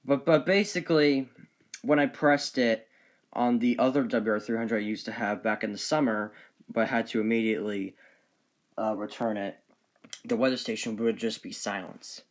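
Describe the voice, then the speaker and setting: Male collector, casual unscripted show-and-tell, medium pitch, halting delivery that trails off. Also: fairly even intonation. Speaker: collector presenting weather radios.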